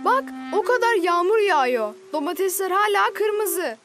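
A woman's voice: very high voice, expressive, normal speed, music in the background. A woman voicing a cheerful young boy, a teasing big brother in a children's cartoon. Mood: amused. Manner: boyish, teasing.

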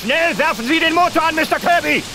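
A man's voice: very high-pitched, a little fast, background noise. A man acting an urgent, frantic adventurer in danger in a film dub, exclaiming in short commands. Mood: urgent, alarmed. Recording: rainy film soundtrack with music.

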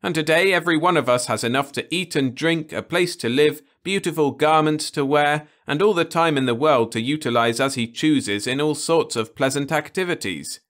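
A man's voice: on the high side, moderate pace, hardly any pauses, a bit bright, voice pushed up, natural voice. A man voicing an earnest young man insisting everyone is content, in a storybook reading. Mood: insistent.

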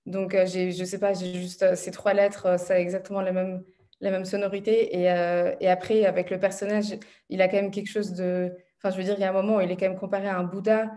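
A woman's voice: medium pitch, flat delivery, fast talker, mellow. A young woman in her twenties, a student interviewer, talking in a chatty way over a video call from her room at home. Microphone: video call laptop mic.

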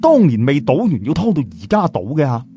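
A man narrating a story in a lively, colloquial Cantonese storytelling style, chatting in asides to listeners. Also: mid-range voice, a little fast, highs cut off, faint music behind. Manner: chatty, uses sentence particles and asides to listeners. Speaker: audiobook storyteller.

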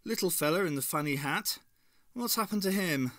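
A man reading aloud and voicing a gruff, guarded old man who keeps a cafe. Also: fairly high pitch, lively intonation, moderate pace, sharp tone, no room sound, studio quality, pitched above the performer's own voice.

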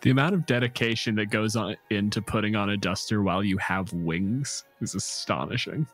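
A man's voice: fairly low pitch, fairly quick, fairly bright tone, soft background music. A man narrating a tabletop role-playing game as game master in a conversational way, with dryly humorous asides. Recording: clear background noise. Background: night forest ambience with crickets and insects.

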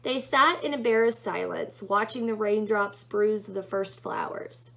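A woman's voice: medium pitch, unhurried, creaky, a bit bright. A young adult woman narrating a storybook as she reads it aloud in a small room at home. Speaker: book reader.

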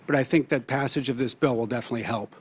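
A man in his fifties with a medium-pitched voice explains his answers earnestly, pausing for fillers. He testifies as a witness into a desk microphone in a large hearing room.

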